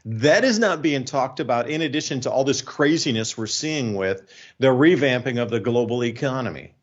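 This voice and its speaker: A man's voice: medium pitch, expressive, moderate pace, muffled recording. A man hosting a radio show with informal, off-the-cuff commentary.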